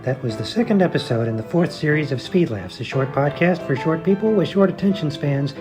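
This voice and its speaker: A woman's voice: low voice, lively intonation, fairly quick, music in the background. A woman announcer reading scripted comic credits.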